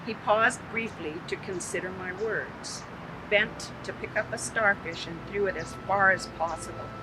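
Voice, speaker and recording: A woman reading a short inspirational story aloud as a guest, in a measured storytelling manner, then speaking directly to listeners. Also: medium pitch, noisy background. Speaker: guest reader.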